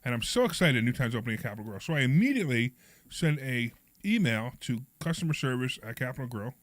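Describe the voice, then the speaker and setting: A man with a hoarse, froggy voice hosting a talk show and telling a rambling, animated story. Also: medium pitch, fast talker, no room sound. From Pennsylvania. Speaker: radio show host. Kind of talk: casual storytelling chat.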